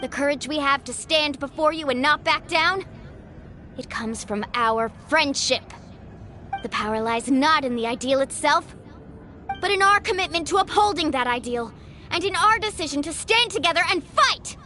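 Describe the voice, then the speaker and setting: A woman's voice: sits high, animated, moderate pace, sharp tone, faint music behind. An actress playing a brave, spirited young woman warrior in an anime-style video game, earnest and bold. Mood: resolute.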